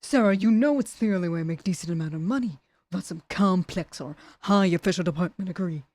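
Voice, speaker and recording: Assassin , played by a woman: roguish troublemaker, lowish mellow voice, dry close sound, dramatic and wheedling. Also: fast, dry recording, lightly acted. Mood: indignant, pleading. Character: roguish, pleading assassin, the queen's troublesome cousin. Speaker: voice actor in an audio roleplay. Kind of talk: ASMR audio drama acting.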